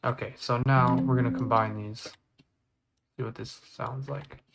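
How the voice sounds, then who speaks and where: Flat-toned man giving a casual tutorial. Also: medium pitch, unhurried. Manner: informal, thinking aloud while working. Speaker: tutorial creator. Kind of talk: casual step-by-step software tutorial. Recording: clear background noise, mouse clicks.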